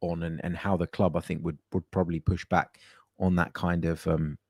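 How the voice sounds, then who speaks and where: Male podcast host with a low voice and a dry home-office sound, casual and chatty. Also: little pitch movement, normal speed, no room sound. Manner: conversational, chatty, with frequent fillers and restarts. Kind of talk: casual call-in podcast chat.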